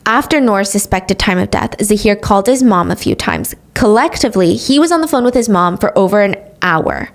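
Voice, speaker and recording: Animated woman telling a true crime story. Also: medium pitch, fairly quick, few pauses. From grew up speaking English, also Korean. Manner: sarcastic asides, animated retelling. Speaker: podcast host.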